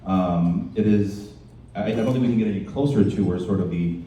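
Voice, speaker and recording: A man hosting a live panel discussion in a halting, informal way. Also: lowish voice, dark tone. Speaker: event host and panel moderator. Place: indoor department store event. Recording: roomy echoing event space, distant mic, faint noise.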